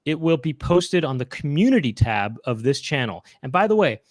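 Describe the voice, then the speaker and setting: A man's voice: a little high, big pitch swings, moderate pace, dry recording, studio quality. A man hosting a casual live online chat show, talking in a loose, rambling way with many fillers. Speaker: host.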